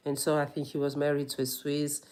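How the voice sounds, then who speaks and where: A woman's voice: sits low, completely flat delivery, a little fast, darkish tone, clear, resonant tone, poor audio. A woman yoga teacher in a reflective personal monologue, earnest and rambling, often saying "you know".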